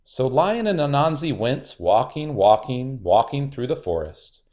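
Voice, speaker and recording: A man's voice: mid-range voice, big pitch swings, a little slow, a little mellow. A man narrating a children's storybook aloud, expressively and with dramatic emphasis.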